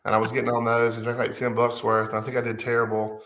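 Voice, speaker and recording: A man's voice: medium pitch, monotone, fast, fairly bright tone, reverberant. A man in his forties, a guest musician, talking casually and hesitantly with many fillers and restarts. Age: 40s. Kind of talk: casual conversation.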